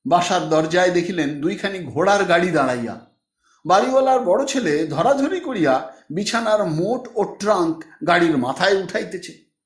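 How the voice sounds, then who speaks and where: High-pitched, expressive male storyteller. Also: normal speed. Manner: expressive, acting out dialogue. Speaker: audiobook narrator. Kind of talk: dramatised literary story narration. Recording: echoey room.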